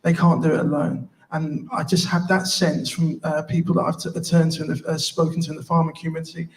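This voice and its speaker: Female independent candidate, impassioned but halting, speaking at a public hustings. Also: sits low, little pitch movement, rough recording. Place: live public hustings event.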